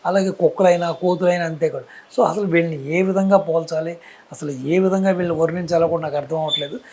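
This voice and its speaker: A man's voice: fairly high pitch, steady tone, fast, darkish tone. A man with a Telugu accent giving opinionated political commentary, emphatic and rhetorical, posing questions and piling up repeated phrases.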